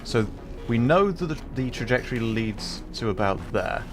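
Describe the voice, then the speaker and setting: A man's voice: medium pitch, expressive, moderate pace, music in the background. A man livestreaming a game with casual, enthusiastic commentary, musing and explaining as he goes.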